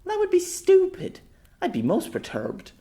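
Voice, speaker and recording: Man voicing a high, playful young woman. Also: wildly swooping pitch, unhurried, creaky, dark tone, full character voice. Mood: indignant. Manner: cooing, pouting, cheeky.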